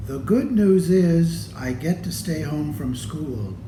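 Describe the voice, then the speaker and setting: A man reading a children's storybook aloud in a deliberate, expressive way, addressing young listeners. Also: fairly high pitch. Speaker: storybook reader. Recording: echoey room with clear background noise.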